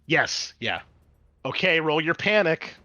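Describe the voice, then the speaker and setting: A man acting as game master, narrating a tabletop roleplaying game in an animated, exclamatory way with casual swearing. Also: fairly high pitch, slow, no echo, lo-fi sound.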